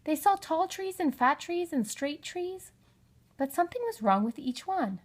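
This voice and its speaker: A woman's voice: high-pitched, lively intonation, normal speed. A woman narrating a children's story from a script, as an audiobook reader.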